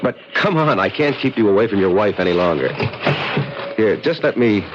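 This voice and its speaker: A muffled old radio recording with faint noise. An actor plays a deep-voiced American visitor, earnest and wistful, brooding and slightly halting as he talks. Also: animated, lightly acted. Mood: wistful, friendly. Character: tense, wistful American advertising man visiting cousins. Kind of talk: radio drama acting.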